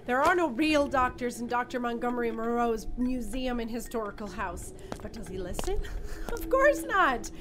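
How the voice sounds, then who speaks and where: Exasperated young woman, high-pitched, sarcastic, emphatic, audio drama, noisy ambience. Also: very animated, moderate pace.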